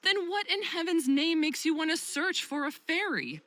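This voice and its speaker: A woman playing a caring but firm friend in a visual novel, questioning in a measured, probing way. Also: extremely high pitch, animated, bright, forceful tone, dry recording, exaggerated voice. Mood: reproachful.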